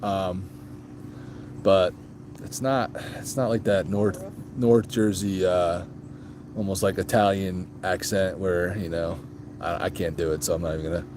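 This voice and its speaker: A man with a low, easygoing voice chats with viewers in a casual vlog. He rambles, trails off and restarts, throwing in ums as he answers questions. A faint hiss of background noise runs under him. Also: steady tone, measured pace. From grew up moving around as an Army child. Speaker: motorcycle vlog host reading live chat.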